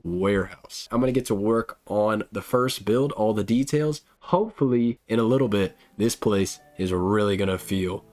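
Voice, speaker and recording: A man with a hoarse, slightly nasal voice, hosting a game building channel in an upbeat, chatty walkthrough. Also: lowish voice, normal speed, few pauses, darkish tone. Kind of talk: casual gameplay commentary.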